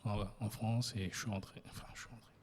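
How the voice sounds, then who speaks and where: A man from Ivory Coast hosting a casual interview podcast, animated and emphatic, often repeating himself. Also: fairly low pitch, quick pace, slight background noise. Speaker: podcast host. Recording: long hall reverb.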